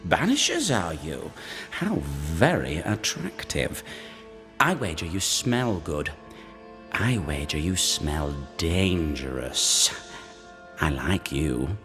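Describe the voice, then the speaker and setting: A man voicing the flirtatious, deluded ghost of a sickly, lovesick gentleman in a theatrical, singsong video game performance. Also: a bass voice, sing-song, takes it slowly, exaggerated voice. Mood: flirtatious, teasing. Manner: theatrical, singsong, repeating phrases. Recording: long echoing reverb, music underneath.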